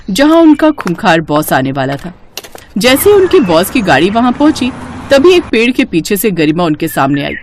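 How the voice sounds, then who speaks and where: A woman narrating a story in an expressive storytelling style. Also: on the low side, a little hiss or hum.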